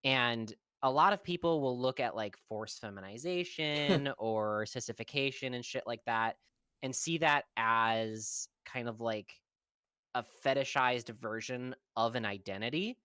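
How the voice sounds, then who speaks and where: A young adult guest in a casual podcast chat, talking in a relaxed, rambling way with lots of fillers. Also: medium pitch, a little slow, smooth, no room sound.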